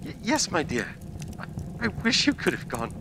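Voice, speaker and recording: Radio play father, played by a man: higher male voice, mild, hesitant, grieving, noticeable noise behind. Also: huge pitch swings, normal speed. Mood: tender, sad. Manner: hesitant, tender. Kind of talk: theatrical radio play acting.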